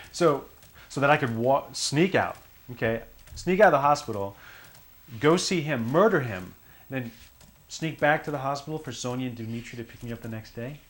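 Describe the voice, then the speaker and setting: Male actor as evasive male murder suspect, mid-pitched, halting, defiant, naturalistic film delivery. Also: a bit bright, natural voice. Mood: agitated, incredulous. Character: defensive, evasive murdered man's son under interrogation. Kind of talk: naturalistic film drama acting.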